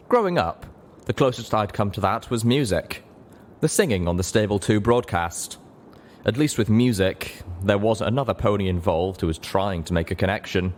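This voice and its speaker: Guy with a low voice reading an audiobook story, slow deliberate phrasing, bit of noise behind him. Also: darkish tone. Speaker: narrator, reader of a fan fiction audiobook. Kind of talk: scripted narration, dramatic storytelling.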